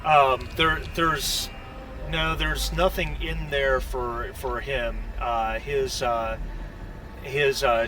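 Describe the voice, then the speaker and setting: A man runs a tabletop roleplaying game in a mid-pitched, sharp-edged voice. He narrates haltingly and takes his time between phrases. The mic sounds slightly distant. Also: a little hiss or hum. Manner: halting, searching delivery. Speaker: game master.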